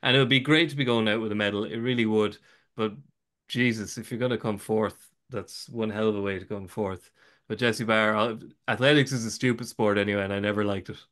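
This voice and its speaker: A man's voice: on the low side, steady tone, fairly quick, fairly bright tone. Wry Irish man hosting a sports podcast. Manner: wry, self-interrupting with restarts. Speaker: podcast host. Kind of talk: casual sports podcast chat.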